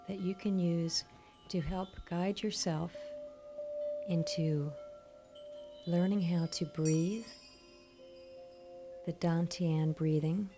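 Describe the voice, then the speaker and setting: Female meditation instructor, soothing and gentle, very relaxed dark tone, taking her time over each instruction. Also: fairly low pitch. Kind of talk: guided meditation narration. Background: soft meditation music with chimes.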